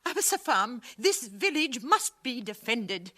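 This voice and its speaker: Fierce village widow, bright high voice. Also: female voice, sing-song, measured pace, heavily acted. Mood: impassioned. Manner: impassioned, blunt. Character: stubborn, outspoken village widow, fiercely protective. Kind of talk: 1980 radio drama acting.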